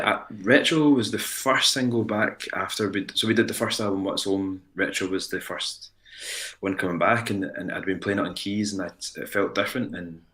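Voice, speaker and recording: A man's voice: sits low, fairly bright tone. A man with a Scottish accent, a musician talking casually in an interview, rambling with frequent restarts and fillers. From Scotland. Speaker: band frontman guest.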